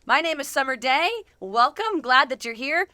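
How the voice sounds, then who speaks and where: A woman's voice: sits high, lively intonation, moderate pace, bright, forceful tone, no echo. A woman hosting a casual studio interview, speaking in an effusive, earnest way. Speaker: host.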